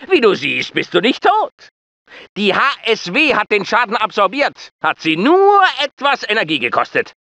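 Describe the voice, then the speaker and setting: An actor playing a gruff, bullying military drill sergeant in a video game, barking commands and insults in clipped orders. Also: male voice, sits high, bright, forceful tone, dry recording, full character voice. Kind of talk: German video game dubbing.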